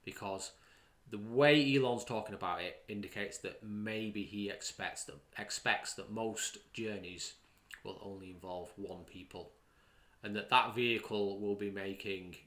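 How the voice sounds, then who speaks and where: A man's voice: low voice, fairly bright tone. A man hosting a podcast, giving opinion commentary in an emphatic way, repeating words for stress. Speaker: podcast host.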